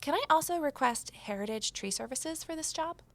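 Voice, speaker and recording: A woman's voice: high-pitched, expressive, a little fast, no echo. A woman playing an earnest, observant office assistant turned amateur sleuth, narrating and speaking in an audio drama. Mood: polite, persistent. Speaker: narrator and lead character. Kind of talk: first-person audio drama narration and dialogue.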